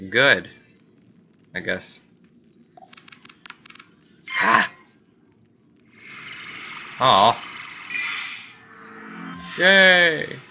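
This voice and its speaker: A man's voice: mid-range voice, very animated, drawn-out words, pauses often, pushed and bright, muffled recording, a little hiss or hum. A man giving casual, playful gameplay commentary, thinking aloud in short bursts and exclamations. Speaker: gamer recording gameplay.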